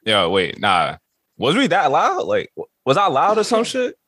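A man hosting a podcast in casual sports chat, slangy and profane, with a voice hoarse from shouting. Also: on the high side, wildly swooping pitch, normal speed. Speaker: podcast host.

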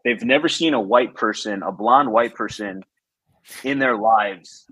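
A man's voice: fairly low pitch, measured pace, bright, forceful tone, slightly rough, no room sound, low-quality recording. A man in his thirties from Chicago whose first language is Spanish, a former police officer telling loose, profane stories with laughs on a podcast. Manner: laid-back, laughing, profane storyteller. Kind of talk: casual storytelling chat.

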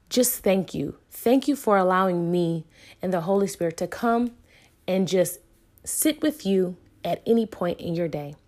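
A woman's voice: mid-range voice, darkish tone. A woman in her thirties hosting a podcast, giving an inspirational faith talk to listeners in an earnest, emphatic way with preacher-like repetition. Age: 30s. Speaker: podcast host.

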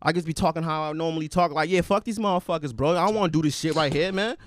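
A man who rattles along fast, emphatic and slangy, in a casual chat. His voice sits slightly high and the sound is dry. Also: dry recording. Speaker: podcast co-host.

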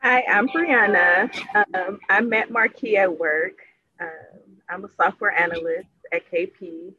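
A woman introducing herself on a casual podcast, speaking slowly with light laughter. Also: mid-range voice, sharp tone, muffled recording. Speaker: guest panelist.

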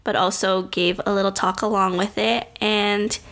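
A woman's voice: medium pitch, level tone, moderate pace, gravelly, a bit bright. A woman co-hosting a podcast in casual chat, upbeat and talkative, filling her speech with "like". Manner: chatty, upbeat, says "like" often. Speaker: podcast co-host.

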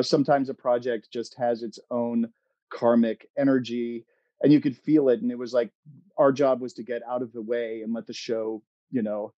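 A middle-aged American man chatting casually as an interview guest, telling anecdotes in a halting, expressive, self-amused way. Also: medium pitch, no room sound, highs cut off. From Oregon, United States. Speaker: interview guest, playwright and screenwriter.

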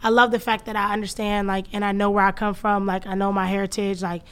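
A young woman, a podcast guest, talking about her family in relaxed, slangy casual chat. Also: mid-range voice, quick pace, smooth. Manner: relaxed and open, with slang and many "um"s.

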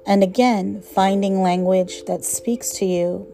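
A woman guiding a meditation with slow, gentle instruction in a soothing, measured manner, letting phrase ends trail softly. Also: mid-range voice, fairly even intonation, creaky, soft background music. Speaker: meditation guide.

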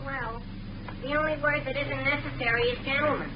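An actor playing a slow-witted, agreeable teenage sidekick, artless and pleased with himself, in 1940s radio comedy. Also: male voice, very high voice, steady tone. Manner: artless, self-satisfied, folksy. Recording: muffled, noisy old radio recording.

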